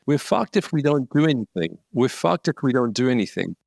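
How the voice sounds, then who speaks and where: A man's voice: medium pitch, sing-song, fairly quick, gravelly, darkish tone, no echo, studio quality. A man talking bluntly and emphatically as an interview guest, swearing for emphasis. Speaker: guest speaker. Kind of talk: conversational interview.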